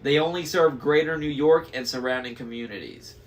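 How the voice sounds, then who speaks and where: A man's voice: on the high side, brisk, natural voice. A man doing a matter-of-fact teenage girl.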